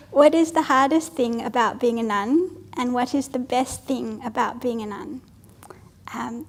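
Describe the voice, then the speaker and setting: A Buddhist nun, reading out audience questions at a retreat Q&A, light and self-deprecating with frequent laughter. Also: female voice, fairly high pitch, darkish tone. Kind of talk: Q&A panel at a retreat. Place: retreat hall with a live audience.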